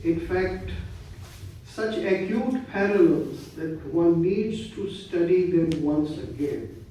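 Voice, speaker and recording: A man from India, a professor giving a measured formal speech. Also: fairly high pitch, lively intonation, dark tone. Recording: echoey hall, distant mic, faint noise.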